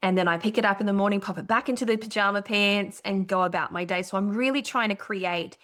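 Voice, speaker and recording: A woman's voice: medium pitch, fairly bright tone. A woman from Brazil co-hosting a podcast, explaining things in an enthusiastic, casual way with the odd swear word. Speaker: podcast co-host.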